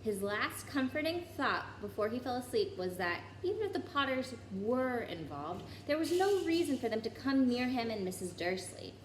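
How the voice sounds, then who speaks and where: A woman reading a children's story aloud in an expressive way, with occasional small stumbles over the text. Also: on the high side, normal speed, hardly any pauses. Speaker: story reader. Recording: roomy echo, clear background noise.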